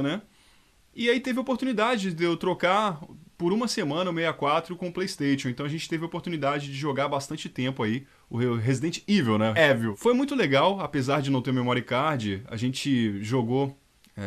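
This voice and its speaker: A man, a fan dub voice actor chatting in a lively, nostalgic way to camera. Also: fairly high pitch. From Rio de Janeiro state, Brazil. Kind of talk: casual nostalgic testimonial. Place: dark room indoors.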